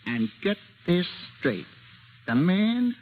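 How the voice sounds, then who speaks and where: An actor playing a furtive small-time informant, urgent, slangy and conspiratorial, in old radio drama style on a vintage broadcast recording. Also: male voice, high-pitched, very animated, slow pace, phone-quality sound, slight background noise, heavily acted. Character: furtive small-time male informant making a secret phone call. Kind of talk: 1940s radio drama acting.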